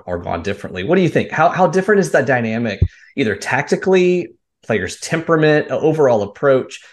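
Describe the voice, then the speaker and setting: A man hosting a conversational sports interview, laying out long analytical questions. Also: mid-range voice. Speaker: host.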